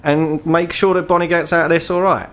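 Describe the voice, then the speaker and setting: A man plays a gruff, ageing troll who works as an underworld fixer in a tabletop game. He is British, blunt and foul-mouthed, and speaks like someone bitter and tired of living in the gutter. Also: fairly high pitch, level tone, fairly quick, a little hiss or hum, pitched above the performer's own voice, lightly acted. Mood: wary, irritated. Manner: blunt, sweary, world-weary.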